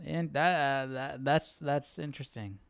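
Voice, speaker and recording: A man with a smooth, clear voice comments casually on a reading, recorded close and dry. He speaks informally and haltingly, working out his thoughts aloud as a Bible study host. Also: mid-range voice, dry recording. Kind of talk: casual reflective commentary while following a reading.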